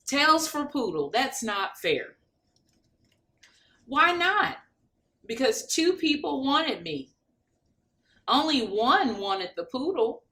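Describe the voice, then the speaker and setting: Woman reading as chatty storybook dog, lively high voice. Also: heavily acted. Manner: picture-book dialogue, curious questions and plain explanations. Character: two chatty pet dogs, one explaining, one questioning. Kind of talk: children's storybook read-aloud.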